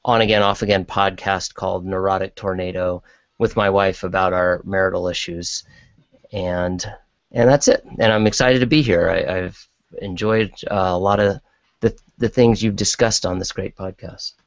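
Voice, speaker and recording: A man with a very deep, creaky voice chats casually and a bit haltingly as a podcast guest. The audio is muffled and lo-fi. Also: fairly even intonation, no room sound. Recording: dull lo-fi online call audio.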